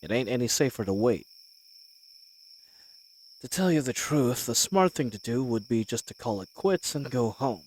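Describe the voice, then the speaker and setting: A man's voice: mid-range voice, lively intonation, moderate pace, pauses often, darkish tone, natural voice. An actor playing a cocky but wary male bandit leader in a fantasy audio roleplay, with a folksy, wry way of talking. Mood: wary, annoyed. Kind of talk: scripted fantasy audio roleplay, addressing the listener.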